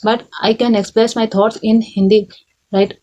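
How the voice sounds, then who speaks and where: A young woman from Chhattisgarh with an Indian accent, whose first language is Chhattisgarhi, talking in halting learner's English at home, often correcting herself and adding "right?". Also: on the high side, little pitch movement, dark tone, highs cut off. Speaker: English learner vlogger and tutor. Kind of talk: unscripted personal talk. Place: home in a village.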